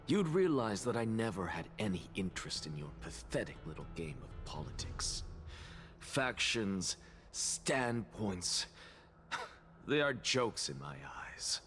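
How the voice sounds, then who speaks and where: A man playing an arrogant, defiant scholar in a video game, speaking in a deliberate, theatrical and pompous way. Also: lowish voice, fairly bright tone. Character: arrogant, paranoid male scholar, honest, theatrical, defiant loner. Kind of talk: dramatic video game voice acting.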